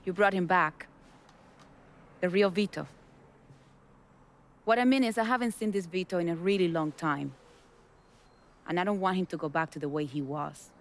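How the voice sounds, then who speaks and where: A woman's voice: fairly low pitch, normal speed, long pauses, lightly acted. A woman, voicing a tough smuggling boss in a video game, blunt and businesslike. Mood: earnest. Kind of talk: video game cutscene acting.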